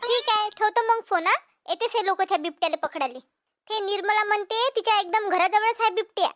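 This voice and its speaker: Cartoon casting: woman as bossy village wife, Vidarbha Marathi accent, very high pitch, chatty and emphatic. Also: fairly quick, a bit bright. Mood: reassuring. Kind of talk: amateur cartoon comedy voice acting.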